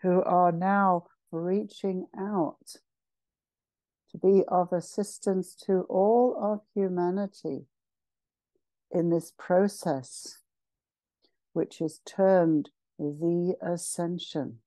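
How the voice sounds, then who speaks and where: A woman with a lowish, soft-toned voice leads a guided meditation at an extremely slow pace. She is solemn and ceremonial, setting out short phrases with pauses between them. The sound is close and dry. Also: fairly even intonation, no echo, low-quality recording. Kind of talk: slow guided meditation, channeled spiritual address.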